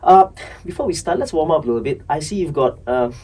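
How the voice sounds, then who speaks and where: Singaporean podcast host, halting eager questions. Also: male voice, fairly high pitch, expressive, a little mellow, husky, recorded from a distance. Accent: Singaporean English. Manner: hesitant, repeats words, eager questions. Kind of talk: casual interview.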